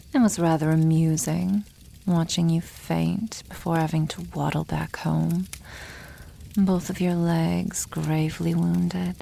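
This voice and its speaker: A woman voicing a proud, teasing dragon girl in an ASMR roleplay, speaking softly and often near a whisper, with wry condescension toward humans. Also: fairly low pitch, vocal fry, slight background noise, exaggerated voice. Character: proud, teasing young female dragon, protective elder sister. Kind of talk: ASMR roleplay, addressing the listener.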